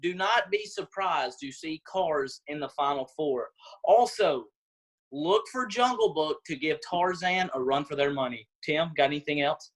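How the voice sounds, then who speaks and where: A man with a fairly high voice delivers sports commentary. He speaks as a studio analyst breaking down matchups on a mock selection show. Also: lively intonation, measured pace, poor audio.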